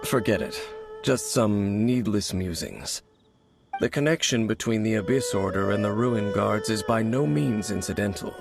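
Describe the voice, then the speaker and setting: A grave, reserved warrior from a video game. His voice sits low with a gravelly edge, and he speaks formally and cryptically, weighing his words with solemn restraint over soft background music. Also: expressive, moderate pace. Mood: solemn, cryptic. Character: brooding, mysterious adult male warrior, grave and reserved. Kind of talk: dramatic video game character acting.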